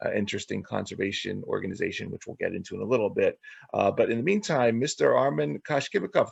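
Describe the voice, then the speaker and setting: Guy hosting an interview, really creaky voice, kinda husky, stumbles over words, dry muffled audio. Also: fairly low pitch, no room sound. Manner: halting, stumbles and repeats words. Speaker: show host, interviewer. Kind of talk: podcast interview introduction, reading a guest biography.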